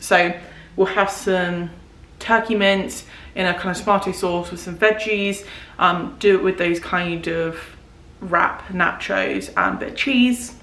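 A woman's voice: on the low side, fairly bright tone, a little hiss or hum. A young woman, chatting casually to camera at home with lots of fillers. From South West England. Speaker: vlogger. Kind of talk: casual grocery haul chat. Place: home living room, indoors. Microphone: camera mic at seated distance. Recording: echoey room.

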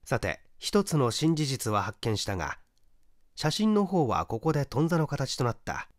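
A man reading a mystery story as a narrator, in a measured, dramatic literary storytelling manner. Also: medium pitch, very animated, no echo, clean studio sound. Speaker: audiobook narrator, stage actor.

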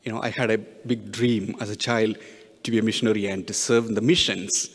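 A man's voice: fairly low pitch, level tone, a little fast. Man preaching in a long echoing hall. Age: middle-aged. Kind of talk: homily with personal missionary stories. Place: church, at the pulpit.

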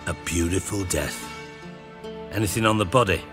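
A man's voice: deep voice, normal speed, music in the background, lightly acted. An actor playing an irritable, self-important male crime boss in a video game, sardonic, clipped and foul-mouthed. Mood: wry, testy. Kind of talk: video game dialogue acting.

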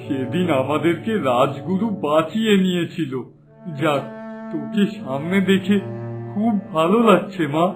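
Man playing a high-voiced, tender cartoon king. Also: lively intonation, measured pace. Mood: relieved, tender. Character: loving, grateful father king blessing his daughter. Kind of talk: cartoon fairy-tale acting. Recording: music behind the voice.

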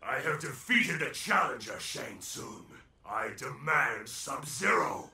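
Echoing, vengeful undead ninja. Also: male voice, medium pitch, big pitch swings, takes it slowly, vocal fry, slight background noise, full character voice. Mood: proud, threatening. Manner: menacing, declamatory. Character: vengeful undead male ninja warrior, fierce and proud. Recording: echoing voice over loud music.

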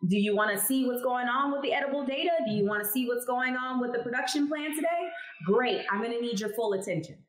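A woman's voice: on the high side, fast talker, echoey room, low-quality recording. A woman in her thirties, a chemist guest on a video call, telling her story candidly and emphatically. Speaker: guest, cannabis lab chemist. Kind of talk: casual interview talk. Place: home room, indoors, video call. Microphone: headphones on video call.

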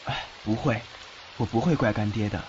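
A man playing a devoted, trusting young godson in a fan audio drama, expressive and reassuring. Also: lowish voice, normal speed, natural voice. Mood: earnest. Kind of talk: Mandarin fan radio drama acting. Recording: muffled, faint background noise, rain-like ambience.

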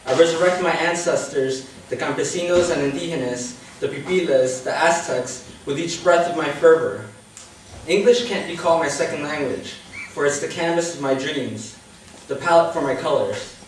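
A man's voice: fairly high pitch, moderate pace. A young man from Queens, New York, whose first language is Spanish, reading a spoken word poem from paper at an open mic on a small indoor stage. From Jamaica, Queens, New York. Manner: reads from paper, relaxed asides before poem. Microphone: far camera, mic on stand. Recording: echoey room from far off, faint hiss.

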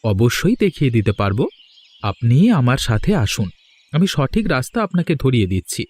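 A man's voice: medium pitch, big pitch swings, normal speed, darkish tone. A man voicing a polite, helpful young village boy in a cartoon, with an earnest manner. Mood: curious, polite. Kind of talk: Bengali cartoon voice acting. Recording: faint music behind the voice.

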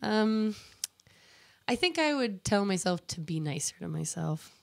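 A woman's voice: medium pitch, sing-song, unhurried, vocal fry, no echo, studio quality. Laughing, self-mocking woman in her thirties. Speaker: guest musician. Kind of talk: casual radio interview chat. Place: radio studio. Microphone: studio microphone.

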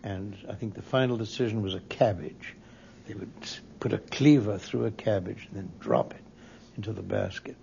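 A man's voice: on the low side, normal speed, gravelly, warm tone, a little hiss or hum. A man giving a reflective interview recollection in a hesitant manner. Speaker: interviewee, radio producer. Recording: dull, muffled interview recording.

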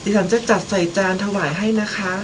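A woman's voice: mid-range voice, steady tone, brisk, natural voice. A young woman playing a caring, dutiful wife and mother in a student radio drama. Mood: gentle, respectful. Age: young adult. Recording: clearly noisy background.

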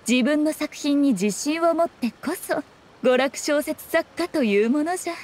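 Casting: elegant, self-assured fox spirit for an anime game, high feminine voice, teasing old-fashioned phrasing. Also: female voice, lively intonation, normal speed, a little mellow, slight background noise. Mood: smug. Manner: archaic, haughty, teasing speech.

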